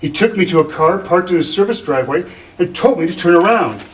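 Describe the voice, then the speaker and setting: An actor playing an earnest, shaken artist in a radio drama, with a halting delivery. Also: male voice, high voice, animated, slight background noise. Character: earnest, shaken male artist recounting an ordeal. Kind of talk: 1940s radio drama reading. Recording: voice distant from the mic.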